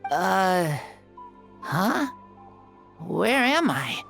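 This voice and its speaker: Creaky-voiced, hesitant, frail child. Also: female voice, medium pitch, very animated, extremely slow, a bit bright, soft background music, full character voice. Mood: groggy, confused. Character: frail, earnest child, wide-eyed and hopeful. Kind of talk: anime-style video game voice acting. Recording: faint noise behind the voice.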